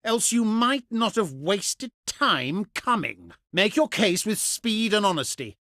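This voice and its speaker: A pompous ancient Etruscan statesman for a strategy game, cultured and condescending. His formal, theatrical delivery swings widely in pitch with deliberate emphasis. The sound is dry, with no room around it. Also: big pitch swings, bright, forceful tone, no room sound, heavily acted. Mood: haughty, wary. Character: haughty ancient Etruscan male diplomat, pious, cultured and condescending. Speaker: faction diplomat in a strategy game. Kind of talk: theatrical scripted game voice acting.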